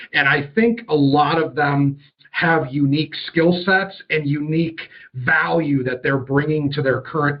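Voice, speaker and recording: A man's voice: on the high side, highs cut off. A man hosting a podcast interview, friendly and loose, with some restarts. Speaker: podcast host.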